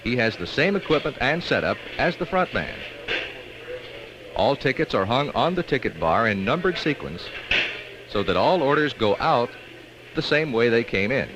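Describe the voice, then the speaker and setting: American man narrating, lively pitch, noisy old film. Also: normal speed, long pauses. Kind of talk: scripted industrial training film narration. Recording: dull, noisy 1960s film soundtrack.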